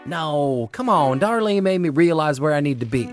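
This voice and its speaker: An actor playing a contrite, persuasive suitor in an audio drama, plain-spoken and conciliatory. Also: male voice, on the high side, expressive, normal speed, close to an everyday voice. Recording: music behind the voice.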